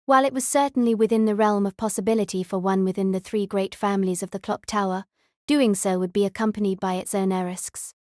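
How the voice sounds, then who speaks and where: A woman's voice: mid-range voice, steady tone, a little fast, a little mellow, no room sound, clean studio sound. A woman reading scripted third-person novel narration as an audiobook narrator.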